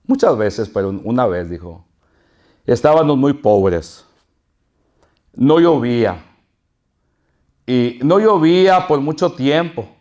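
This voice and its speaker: A man's voice: medium pitch, expressive, slow, pauses often, darkish tone. An older man with a northern Mexican accent, a pastor preaching and telling a folksy personal story with chuckles. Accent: Mexican Spanish, northern.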